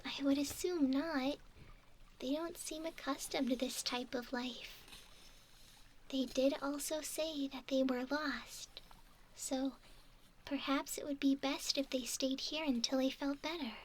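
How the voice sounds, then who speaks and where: High, slow, softly whispering bookish mermaid woman acting. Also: fairly even intonation, a little hiss or hum. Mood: thoughtful. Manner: gentle, measured, slightly formal, often whispering. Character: bookish, doting young female mermaid named Nemu. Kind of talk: ASMR roleplay, soft intimate whispering.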